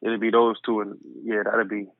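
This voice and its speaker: A man from a Guyanese family, a music producer who is a guest, answering quickly in casual chat. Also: on the low side, completely flat delivery, dry recording. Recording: tinny phone-like remote call.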